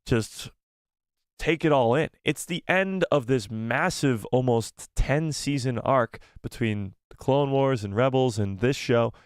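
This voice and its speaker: A man's voice: medium pitch, unhurried, clear, resonant tone, no room sound, studio quality. A man hosting a live fan stream, giving firm opinions, reading viewer replies aloud, and losing his voice. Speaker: host of a live fan show.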